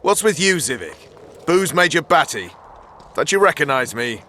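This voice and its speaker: An actor playing an easygoing, plain-spoken knight in a fantasy video game, talking familiarly with fellow soldiers. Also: male voice, a little high, very animated, moderate pace, pushed and bright, slight background noise. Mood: placating.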